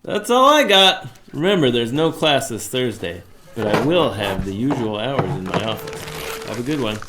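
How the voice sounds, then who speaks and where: A man's voice: medium pitch, very animated, moderate pace, over loud music, lightly acted. A man playing an avuncular, somewhat cagey professor of occult studies in an audio drama, with a hammy, hesitant delivery. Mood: jokey. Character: male occult studies professor, fond of corny jokes, cagey.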